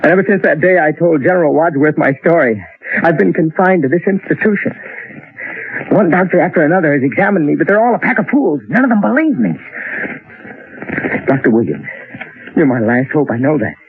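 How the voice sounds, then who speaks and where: A desperate, agitated radio-drama hero with a high voice that swings widely as he pleads. He sounds insistent and frantic, on a muffled old recording. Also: sing-song, brisk, pauses often, a little hiss or hum. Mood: desperate pleading. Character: frantic, desperate man insisting he witnessed an alien plot.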